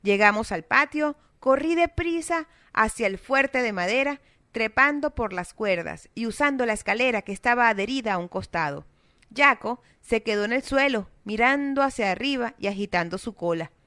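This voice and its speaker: A woman's voice: on the high side, moderate pace, dry recording, studio quality. Woman narrating a storybook, South American accent. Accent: South American Spanish. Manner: steady, expressive reading.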